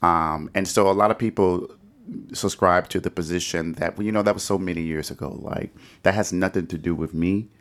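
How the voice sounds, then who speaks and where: A man's voice: deep voice, fairly even intonation, fairly quick. A middle-aged American man from Detroit hosting a conversational podcast discussion and asking questions. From Detroit, United States. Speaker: podcast host.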